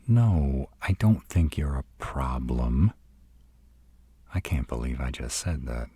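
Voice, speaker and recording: A man playing a sarcastic, brooding vampire with a soft spot, dry and grudging, in a roleplay audio drama. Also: extremely low pitch, husky, no room sound, exaggerated voice. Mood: reluctant, softening.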